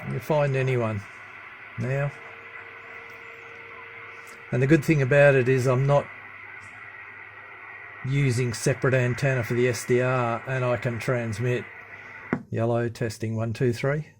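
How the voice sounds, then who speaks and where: A man giving a casual hands-on demonstration as a radio hobbyist, with a low-key, halting delivery. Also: little pitch movement. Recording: noisy room with radio static. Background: CB radio chatter and static.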